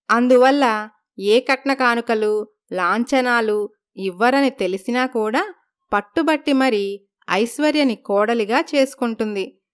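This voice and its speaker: A woman's voice: a little high, clear, resonant tone. A woman narrating a scripted moral story as the storyteller.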